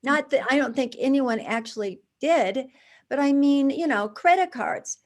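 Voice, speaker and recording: A woman in her sixties, a charity founder, telling her story as a guest in a conversational interview, with lively restarts and asides. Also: high voice, normal speed.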